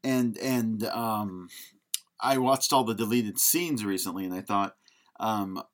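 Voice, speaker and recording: A man with a slightly low voice co-hosts a casual film podcast. He speaks informally and hesitantly, working out his opinions as he talks. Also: takes it slowly. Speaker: podcast co-host.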